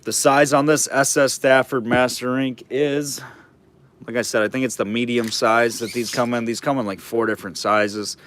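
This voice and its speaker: A man calling a live auction, his voice going hoarse and scratchy from hours of talking. He sits at a middle pitch and rambles casually between bid calls, lifting his voice for each new number. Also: fairly even intonation, moderate pace, fairly bright tone.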